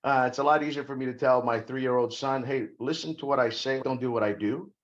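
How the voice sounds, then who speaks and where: A man's voice: medium pitch, fairly quick, lo-fi sound. A middle-aged man from Brazil, a guest on an interview podcast, talking emphatically about leadership and making self-deprecating jokes. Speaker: corporate security guest.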